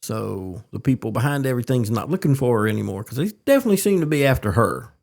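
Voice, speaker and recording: Male podcast co-host, animated intonation, casual and halting delivery. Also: mid-range voice, vocal fry. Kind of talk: casual chat.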